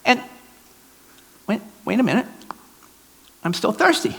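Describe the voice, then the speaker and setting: A performer voicing a proud, silly little vine branch in a theatrical storybook skit, with whiny, melodramatic, halting delivery. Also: mid-range voice, big pitch swings, vocal fry, fairly bright tone, exaggerated voice. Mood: relieved, then puzzled. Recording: long hall reverb.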